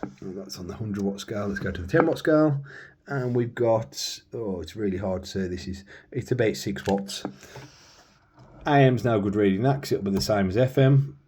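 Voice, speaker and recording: A man with a fairly low voice talks through a piece of gear in a casual, hands-on way. He rambles and thinks aloud as he goes. There is some faint noise behind him. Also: lively intonation, moderate pace, mellow. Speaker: radio hobbyist presenter. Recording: clear background noise.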